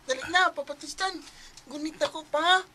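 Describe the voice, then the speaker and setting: A woman's voice: sits high, moderate pace, sharp tone, a little hiss or hum. A woman voicing a sweet, affectionate young boy in a radio drama, with a childlike, endearing manner. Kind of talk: radio drama acting in Cebuano.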